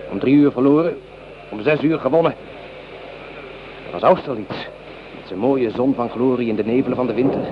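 A man's voice: mid-range voice, natural voice. A man playing an idealistic, patriotic young bourgeois soldier in a Dutch radio drama, declaiming rhetorically. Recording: dull, noisy radio play recording.

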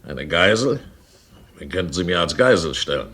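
An actor playing a gruff, suspicious pirate captain who swears and blusters, in a German radio play. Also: male voice, low-pitched, sing-song, moderate pace, bright, forceful tone, full character voice. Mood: suspicious, grudging. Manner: blustering, coarse, distrustful.

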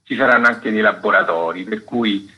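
A man, a festival curator, answering interview questions on a radio program in a relaxed, meandering way. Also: on the low side, normal speed, husky, dull audio. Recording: radio broadcast.

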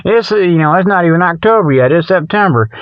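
High-pitched man rambling through comic radio patter. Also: animated, fast talker, dry recording. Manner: scatterbrained, loses his thread, chuckles at his own jokes; folksy, colloquial, checks in with "okay". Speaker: host of a comedy paranormal radio show. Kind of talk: rambling comic monologue with mock sales pitches. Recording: dull lo-fi radio sound.

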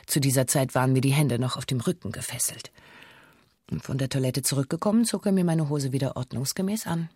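A woman's voice: a bass voice, a little fast, darkish tone, husky, dry recording, clean studio sound. An actress playing a female bank hostage who gives a formal, matter-of-fact witness statement in a documentary radio drama. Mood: sober recounting.

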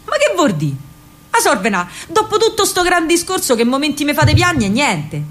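A woman's voice: sits high, big pitch swings, moderate pace, voice pushed up. A woman playing a spirited, impatient young working-class Roman partisan in a radio monologue, colloquial and direct, with a Roman accent. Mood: exasperated.

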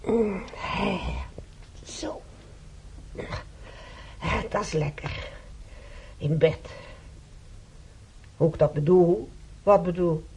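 A woman's voice: on the low side, extremely slow, long pauses, darkish tone. A radio-play patient, on a faintly noisy recording. She talks in a chatty, emphatic, slightly naive way and sounds used to asking for what she wants. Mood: contented, puzzled. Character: chatty, demanding, naive female hospital patient.

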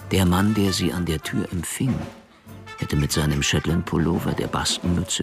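Male narrator for noir-style crime radio play: extremely low, creaky, hushed and deliberate, music underneath. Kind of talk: scripted crime drama narration.

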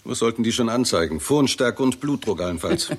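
An actor playing a blunt, sardonic, self-assured scientist in a 1960s radio play, with a dry, assertive way of talking. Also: male voice, medium pitch, animated, fairly quick, husky. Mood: dryly amused.

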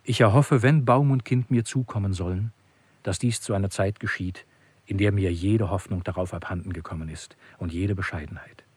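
A low, husky, anguished brooder. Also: male voice, normal speed, darkish tone, no room sound. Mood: grave, resigned. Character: anguished man musing bitterly on hope and despair. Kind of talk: literary radio play monologue.

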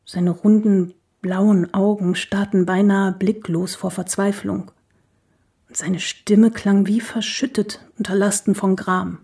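Radio drama: literary woman recalling an old friend, mellow timbre, mid-register, reflective. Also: mid-range voice, flat delivery, takes it slowly, creaky, husky, heavily acted. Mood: wistful, fond. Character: woman novelist fondly remembering a sad writer friend. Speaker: actress. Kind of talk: literary radio play, reminiscing narration.

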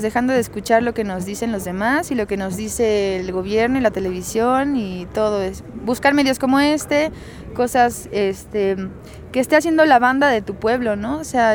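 A young woman with a Mexican accent talks in a loose, chatty way and laughs often. Her voice sits a little high. Faint noise hums under the recording. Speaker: musician guest. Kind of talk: casual radio interview.

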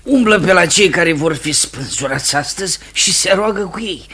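An actor playing a deferential, tipsy prison bailiff in a radio theatre drama, husky and apologetic. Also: male voice, on the high side, expressive, bright, forceful tone, heavily acted. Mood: deferential, flustered. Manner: servile, apologetic.

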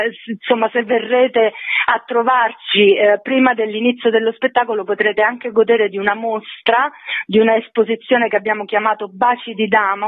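A woman's voice: mid-range voice, no room sound. A woman giving promotional details in a radio interview, somewhat hesitantly, repeating words as she goes. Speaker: interviewed actress. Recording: thin, tinny phone line.

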